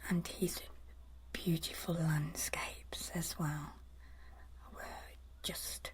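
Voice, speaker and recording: A woman whispering softly and gently as she hosts and explains in an ASMR style. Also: sits low, flat delivery. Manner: soft, gentle, slow and deliberate whispering. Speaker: ASMR video creator and host.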